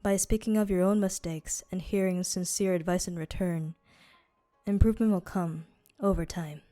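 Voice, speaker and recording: A woman voicing a devout, courteous desert guardian in an amateur game dub, speaking in a formal, measured, advising manner. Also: lowish voice, fairly even intonation, mellow, soft background music, natural voice. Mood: gently admonishing.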